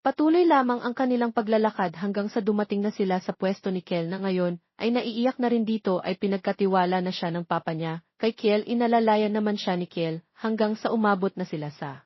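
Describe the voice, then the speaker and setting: A woman's voice: mid-range voice, moderate pace, no echo. Dull-sounding Filipino woman narrating romance. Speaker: audiobook narrator. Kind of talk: scripted romance story narration.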